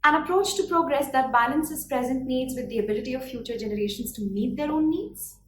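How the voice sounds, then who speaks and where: A woman's voice: high-pitched, fairly quick. Earnest young Indian woman giving a speech. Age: 20s. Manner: earnest, declamatory, rhetorical questions. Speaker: speaker. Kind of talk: prepared speech. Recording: echoey room, faint background noise.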